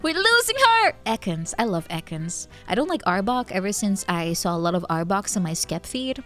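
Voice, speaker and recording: Lively, tipsy young woman streamer. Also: mid-range voice, normal speed. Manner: animated, laughs often, thinks aloud with fillers. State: tipsy from wine. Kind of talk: casual chat with viewers. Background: faint background music.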